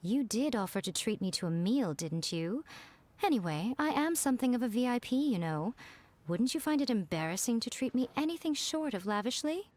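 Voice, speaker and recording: A woman playing a sly, elegant, self-indulgent lady in an anime video game, with a smug, teasing, drawn-out delivery. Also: fairly high pitch, expressive, a little mellow, close to an everyday voice.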